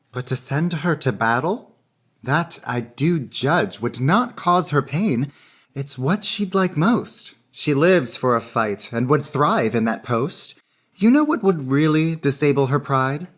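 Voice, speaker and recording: A woman playing a lovestruck, crafty young gentleman in a florid, theatrical radio drama. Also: sits low, breathy edge, heavily acted. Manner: florid, rhapsodic delivery.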